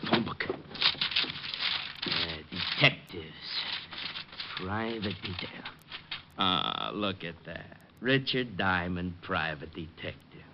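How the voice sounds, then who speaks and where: A man's voice: sits low, slow pace. An actor playing a fussy, vengeful grouch of a man in an old-time American radio drama, muttering and flustered. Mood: grumbling, scheming. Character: fussy, exasperated, vengeful male grouch of a neighbour. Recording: muffled, noisy old radio broadcast.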